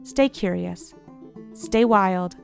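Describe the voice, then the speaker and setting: A woman's voice: mid-range voice, drawn-out words, creaky. A woman reading a scripted podcast announcement as the credits announcer. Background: soft plucked guitar music.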